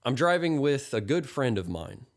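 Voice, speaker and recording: A man's voice: mid-range voice, sing-song, normal speed, voice pushed up. A man voicing a nervous, awkward, blunt young man in a narrated story. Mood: awkward, calmer.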